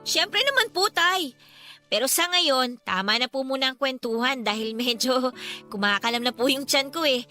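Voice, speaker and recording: An actress playing an outspoken, fiery grown daughter in a Filipino radio drama, speaking bluntly and emphatically but with respect. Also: female voice, sits high, expressive, moderate pace, pushed and bright. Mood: playful, cheerful. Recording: studio drama with music behind.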